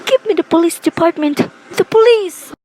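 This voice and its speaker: A woman's voice: very high voice, normal speed, warm tone. A woman acting in a radio play as a nervous, demanding invalid wife, agitated and pleading. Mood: terrified, frantic. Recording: faint background noise.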